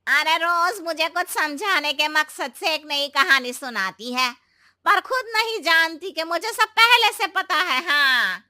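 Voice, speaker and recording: A woman acts out a domineering village mother-in-law in a cartoon drama. Her voice is high and very sharp, and she scolds at a slow, drawn-out pace with heavy sarcasm. The recording is close and dry. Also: lively intonation, dry recording, exaggerated voice. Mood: grumbling. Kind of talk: Hindi cartoon story dubbing.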